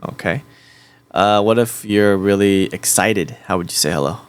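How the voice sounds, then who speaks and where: A man's voice: on the low side, moderate pace, clean tone, no room sound. A man chatting casually with an AI voice assistant, rambling and repeating himself as he rephrases his questions. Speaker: host.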